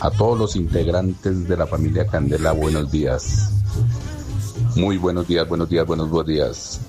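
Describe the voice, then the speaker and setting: A man with a deep voice calling in to a radio show, greeting formally and repeatedly. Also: steady tone, normal speed. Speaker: radio call-in listener. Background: music.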